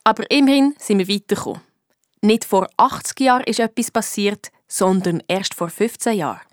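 A woman's voice: mid-range voice, animated, normal speed, no room sound, clean studio sound, natural voice. A woman voicing a young girl detective with a Swiss accent, eager and blunt, telling her story colloquially in a children's radio play. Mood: determined.

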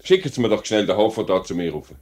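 An actor playing a self-interested factory director in a 1950s radio play, brisk, persuasive and condescending, with a Swiss German accent. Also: male voice, lowish voice, sing-song, darkish tone, husky. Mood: brisk, businesslike. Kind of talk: mid-century radio play acting. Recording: vintage 1950s radio drama recording.

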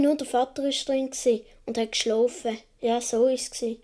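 A woman's voice: fairly high pitch, darkish tone, natural voice. A woman with a Swiss accent playing a naive young boy of seven or eight, telling his story in a radio play.

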